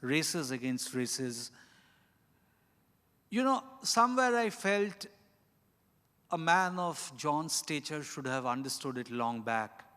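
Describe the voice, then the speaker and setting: A middle-aged man with an Indian accent, an author giving reflective, deliberate, halting answers with emphasis on key words. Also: a little high, lively intonation, pushed and bright, smooth. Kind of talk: reflective spoken answer at a live literary event. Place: large indoor event hall. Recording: echoey hall with long reverb.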